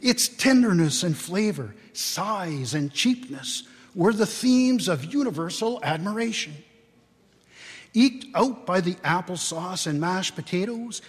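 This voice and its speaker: Guy doing a slow dramatic story reading in a big echoey church, voice kinda high and husky. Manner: theatrical, expressive, deliberate phrasing. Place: large church, live public reading.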